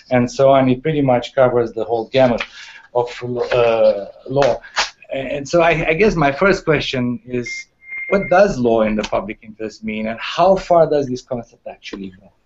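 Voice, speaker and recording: A young man, a law student, moderating a panel on a video call, speaking haltingly with many uh fillers. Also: mid-range voice, a little mellow, a little hiss or hum. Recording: dull lo-fi video call audio.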